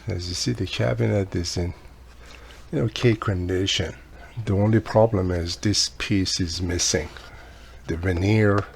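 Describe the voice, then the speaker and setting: A man's voice: lowish voice, creaky, slight background noise. A man with a non-native English accent giving a casual hands-on repair tutorial, explaining each step plainly as he works. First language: not English. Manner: plain-spoken, explains step by step while working. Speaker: radio repairer demonstrating a restoration.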